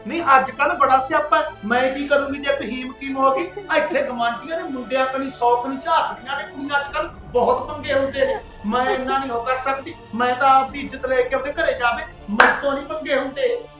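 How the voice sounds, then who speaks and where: Woman as a loud, blunt, scolding aunt, high-pitched, soft music bed. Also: fairly even intonation, fairly quick, echoey room, close to an everyday voice, low-quality recording. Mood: stern. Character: bossy scolding aunt marrying off niece. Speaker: actor in a family skit.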